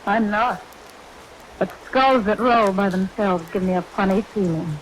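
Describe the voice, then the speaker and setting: A woman acting an uneasy, skeptical woman in an old radio horror play. She holds a steady, firm manner on a vintage recording with clear rain noise behind her. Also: medium pitch, measured pace, natural voice, rough recording.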